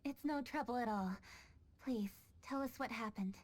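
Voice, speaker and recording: A woman's voice: a little high, moderate pace, a little mellow, a little hiss or hum, lightly acted. A woman playing a decisive, dutiful young noblewoman official in an anime game, speaking formally and firmly. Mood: polite, reassuring. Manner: formal, firm, commanding. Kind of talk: anime game character acting.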